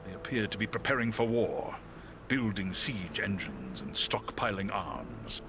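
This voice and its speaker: A man voicing a noble, courteous talking eagle in a video game, speaking formally and with measured gravity. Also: fairly low pitch, big pitch swings, noisy background. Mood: grave, resolute. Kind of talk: video game cutscene acting.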